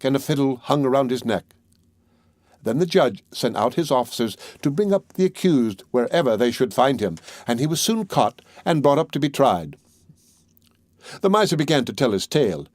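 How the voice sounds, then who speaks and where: Man, audiobook narrator, scripted fairy tale reading, mid-pitched, dry sound. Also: a little mellow, no echo.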